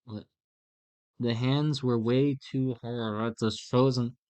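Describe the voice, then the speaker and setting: A man chatting casually on a live stream while he draws, in a halting, self-mocking way. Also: medium pitch, steady tone, a little mellow.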